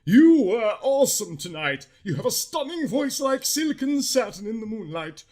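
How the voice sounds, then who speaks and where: Man putting on a swooping, high-voiced lovesick vampire boy. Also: big pitch swings, normal speed, a little mellow. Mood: adoring. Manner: florid mock old-fashioned speech. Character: lovesick archaic-talking male teen vampire, melodramatic and mournful.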